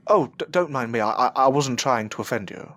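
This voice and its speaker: A man's voice: medium pitch, lively intonation, brisk, a bit bright. A man acting an earnest, grieving father who looks into magic in an audio drama. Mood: polite, uneasy.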